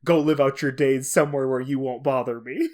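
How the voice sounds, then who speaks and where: A man's voice: mid-range voice, steady tone, a little fast, a little mellow. A man hosting a podcast alone, explaining things in a casual, informal, conversational way. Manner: informal, conversational, with occasional swearing. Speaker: podcast host. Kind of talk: casual solo explainer on horror fiction.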